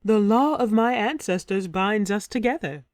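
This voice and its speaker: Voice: mid-range voice, moderate pace, no echo, clean studio sound, lightly acted. A storyteller voicing a passionate, immortal warrior queen, in a raised, ardent tone, in a storybook reading. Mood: eager. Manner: pleading, ardent.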